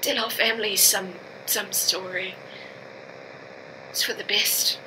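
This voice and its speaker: A woman's voice: medium pitch, steady tone. A woman playing a frightened, grieving astronaut engineer in a science fiction audio drama, short of breath and speaking in broken sentences. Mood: weary, shaken. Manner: halting, broken sentences with repeated words. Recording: faint hum and noise behind.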